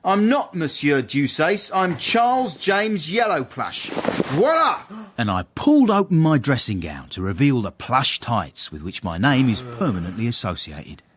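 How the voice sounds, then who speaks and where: A man playing a cheeky, sly young servant and self-satisfied storyteller in a radio drama, husky and wryly deferential. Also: medium pitch, very animated, unhurried, a bit bright, slight background noise. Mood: mock-grand. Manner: wry, deferential with sly irony. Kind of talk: radio drama acting and narration.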